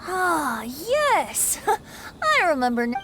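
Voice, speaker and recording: A woman's voice: extremely high pitch, wildly swooping pitch, very slow, very noisy, exaggerated voice. A woman voicing a carefree, evasive young bard in an anime game, playful and theatrical, with music behind. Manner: airy, playful, theatrical, chuckling.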